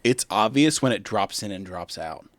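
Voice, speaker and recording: A man hosting a podcast, giving his opinions in measured, casual group chat. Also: fairly low pitch, expressive, dry recording, studio quality. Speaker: podcast host steering the discussion.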